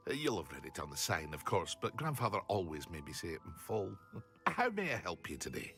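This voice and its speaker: A talkative, eager male shopkeeper selling potions in a fantasy game. He is effusive and fussy, his pitch swooping extremely widely. Soft music sits behind the voice. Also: wildly swooping pitch, moderate pace, full character voice. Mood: flustered, welcoming. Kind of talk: video game voice acting.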